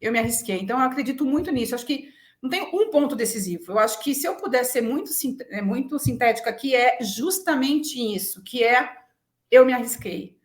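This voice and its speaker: A woman's voice: on the high side, expressive, fairly quick. A Brazilian woman in her forties talking frankly and self-assuredly about herself as an interview guest, often checking in with short tags. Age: 40s. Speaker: interview guest, business executive. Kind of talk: conversational interview, personal reflection. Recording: roomy, echoing sound.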